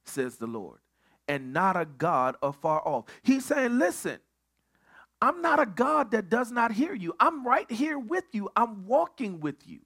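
Man, pastor, bible study sermon, slow pace, repeats and restarts. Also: high voice, a bit bright. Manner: preaching with repeated phrases and stammered restarts.

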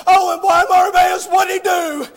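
A man's voice: extremely high pitch, level tone, normal speed, shouting, a faint crowd. A man preaching an impassioned revival sermon, crying out with frequent "oh" interjections.

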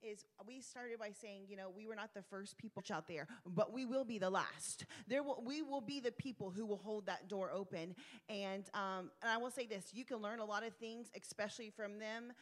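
A woman's voice: mid-range voice, normal speed. Earnest woman urging listeners. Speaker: panel speaker. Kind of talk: exhortation.

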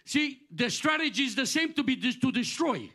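A man's voice: very high voice, big pitch swings, normal speed, sharp tone. A middle-aged man from Romania preaching a sermon in an earnest, repetitive cadence, often restarting his phrases.

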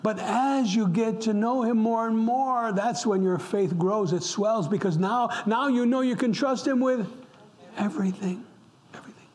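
A man's voice: high voice, normal speed, a little mellow. A middle-aged man from upstate New York preaching a sermon as pastor, impassioned, repeating words for emphasis and dropping to a whisper at times. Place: church.

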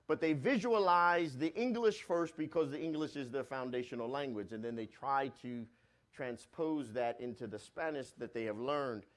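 Man preaching with rising and falling force. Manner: swells louder on key points and drops low and soft on asides; repeats and restarts phrases while preaching. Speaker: pastor. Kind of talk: sermon.

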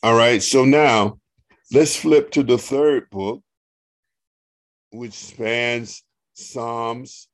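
Slow, deep-voiced pastor reading scripture. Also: male voice, dry recording. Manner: slow, deliberate reading with a coaxing, teasing tone. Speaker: pastor leading a Bible study. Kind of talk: online Bible study, reading scripture aloud. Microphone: video call.

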